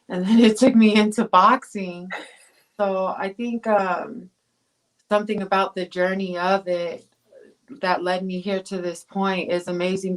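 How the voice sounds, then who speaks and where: A woman's voice: medium pitch, low-quality recording. Woman from Tonga, rambling talk. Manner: rambling, many fillers and restarts. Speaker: guest, pro boxer. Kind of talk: casual interview chat.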